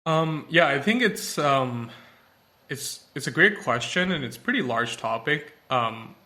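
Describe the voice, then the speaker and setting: A man's voice: medium pitch, expressive, measured pace, sharp tone. A young man from Pakistan, a tech company founder, answering podcast interview questions thoughtfully with many fillers and restarts.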